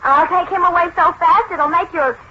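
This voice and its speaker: A woman playing a bossy, wisecracking young woman with a brisk, slangy manner in 1940s radio drama. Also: very high voice. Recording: noisy, muffled 1940s radio recording.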